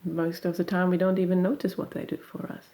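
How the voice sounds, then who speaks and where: A woman guiding a meditation in a gentle, unhurried, soothing manner, leaving long pauses between phrases. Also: on the low side, little pitch movement. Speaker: meditation guide. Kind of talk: guided meditation.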